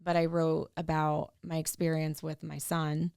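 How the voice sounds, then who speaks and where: A middle-aged woman, a guest on a podcast, talking earnestly and warmly about her work and family. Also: low voice, completely flat delivery, measured pace, vocal fry, smooth, no room sound, studio quality. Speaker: podcast guest, tax professional. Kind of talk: casual interview chat.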